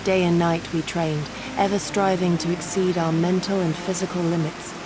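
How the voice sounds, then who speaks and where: An actress voicing an earnest, solemn young shinobi woman in a video game, speaking formally and with measured care. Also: on the low side, level tone, background noise, lightly acted. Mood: reflective. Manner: formal, measured, theatrical speech. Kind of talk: dramatic video game acting.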